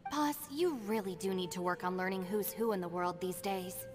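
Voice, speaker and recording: A woman voicing a calm, dutiful young gang deputy in a game, matter-of-fact, in a reverberant space. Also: mid-range voice, normal speed, music in the background, lightly acted. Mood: dryly chiding.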